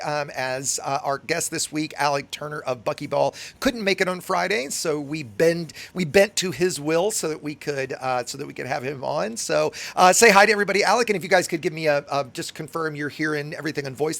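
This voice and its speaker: Male stream host, a bit higher voice, gravelly and worn hoarse, loose and rambling. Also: brisk, few pauses. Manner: relaxed, rambling with many ums. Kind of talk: casual livestream chat.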